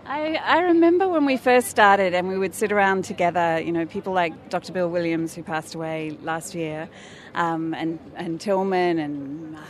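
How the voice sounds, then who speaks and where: An Australian woman speaking enthusiastically and off the cuff as a campaign organiser in a street interview. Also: on the low side. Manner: enthusiastic, effusive. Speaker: anti-nuclear campaign organiser being interviewed. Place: outdoors in a city street. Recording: outdoor city recording with crowd noise.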